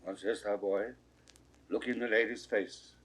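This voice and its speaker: An actor playing a stately French king in a 1960s Shakespeare audio drama, with measured, drawn-out, declamatory phrasing. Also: fairly low pitch, steady tone, natural voice. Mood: grave, questioning. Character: stately king of France, weighing a treaty.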